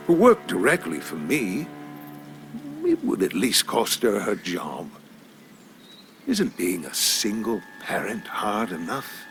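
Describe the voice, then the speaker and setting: An actor playing a smug, menacing elderly businessman in a video game, measured and silky, with theatrical pauses. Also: lowish voice, a bit bright, slight background noise, exaggerated voice. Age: older. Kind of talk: video game dramatic acting.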